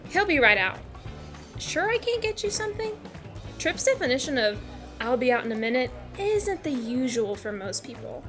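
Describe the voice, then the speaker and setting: A woman's voice: sits high, sing-song, moderate pace, music in the background. A woman playing a perky, teasing bartender in an audio drama. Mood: friendly.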